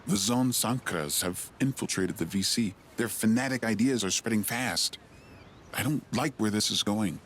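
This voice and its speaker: A male actor plays a loyal alien rider captain in a video game. He sounds grave and troubled, in a fairly low voice. Faint ambient noise runs behind him. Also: moderate pace, a bit bright, close to an everyday voice. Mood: grave, worried. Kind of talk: video game cutscene acting.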